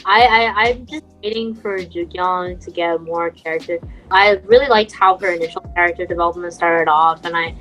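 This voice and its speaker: A woman's voice: lowish voice, moderate pace, fairly bright tone, faint music behind, rough recording. A young woman co-hosting a podcast, speaking informally and earnestly in a casual conversational style. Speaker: podcast co-host.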